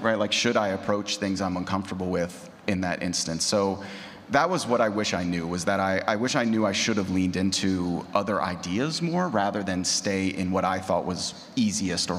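A man's voice: low-pitched, fairly bright tone. A man who makes podcasts, speaking reflectively and off the cuff as a panelist in a discussion. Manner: reflective, self-questioning. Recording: echoey hall, background noise.

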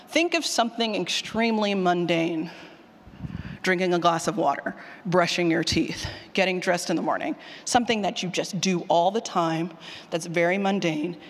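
An animated presenter speaks to a live session in a conversational, emphatic way, like a teacher addressing students. The voice sits at a middling pitch and carries the long reverb of a hall. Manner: animated, informal, emphatic, repeats questions aloud. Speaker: speaker presenting a writing workshop, former college teacher. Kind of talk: conference talk with audience questions. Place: indoor conference session room or hall.

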